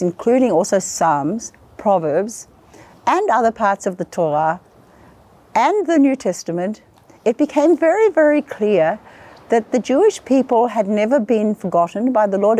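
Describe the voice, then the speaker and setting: Earnest Australian woman with a mellow voice. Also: mid-range voice. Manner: earnest, measured, lists in careful steps. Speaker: guest, singer and worshipper. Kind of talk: personal testimony.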